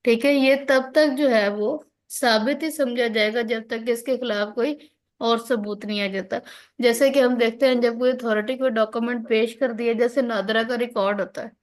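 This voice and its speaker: High-pitched Pakistani woman teaching law. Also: steady tone, moderate pace. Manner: instructional, checks understanding often, mixes in English legal terms. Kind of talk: online lecture, explaining and reading out legal articles.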